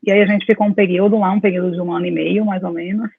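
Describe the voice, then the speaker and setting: A woman in her forties from Salvador, Brazil, talks over a video call that sounds tinny and phone-like. The audio is dry and her voice comes through a little husky. She tells her story at length and wanders off on side points. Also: medium pitch, fairly even intonation, rapid-fire, no room sound. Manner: talkative, meandering storytelling with laughs. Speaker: dentist, interview guest. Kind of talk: casual conversation over a call.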